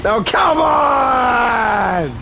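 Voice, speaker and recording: Bold, animated young heroine. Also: female voice, sits high, extremely slow, vocal fry, raised voice, exaggerated voice. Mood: shouting. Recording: faint game music behind the voice.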